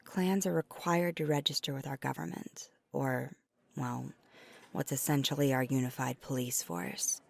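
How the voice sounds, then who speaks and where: A woman voicing a flirty, caring vampire in an intimate ASMR roleplay, soft-spoken and breathy, sometimes near a whisper, with halting hesitations. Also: extremely low pitch, steady tone, full character voice. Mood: earnest, explaining. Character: flirty, caring female vampire on a dinner date.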